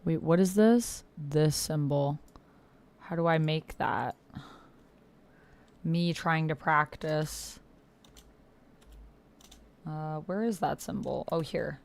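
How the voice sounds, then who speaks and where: Woman streamer, kinda low voice, casual slangy chat, thinks out loud, expressive. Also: slow, pauses often. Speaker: YouTuber livestreaming a text roleplay game. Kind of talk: casual livestream chat, reading and reacting. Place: indoors at a computer.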